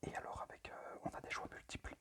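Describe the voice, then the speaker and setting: A man whispers in a breathy, halting, apologetic way, presenting items in an amateur ASMR style. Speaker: amateur ASMR video creator.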